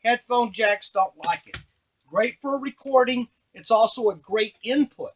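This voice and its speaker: A man's voice: very high-pitched, a little slow, a bit bright, slight background noise. A man giving a casual, unscripted tutorial on a device, explaining step by step to the viewer as he works. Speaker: device demonstrator.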